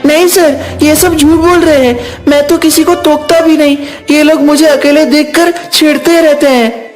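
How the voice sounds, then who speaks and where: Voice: high voice, fairly even intonation, moderate pace, soft background music, close to an everyday voice. An actor voicing a schoolboy in a Hindi moral story, speaking in dialogue. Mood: whiny, complaining. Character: effeminate schoolboy who loves cooking, and teasing classmates.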